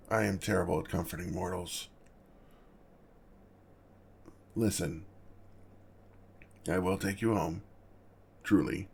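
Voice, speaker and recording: A man playing an awkward, apologetic, gentle male dragon in an ASMR roleplay, with a gravelly, husky voice and a halting, flustered manner. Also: low-pitched, heavily acted. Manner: halting, flustered, self-correcting, measured.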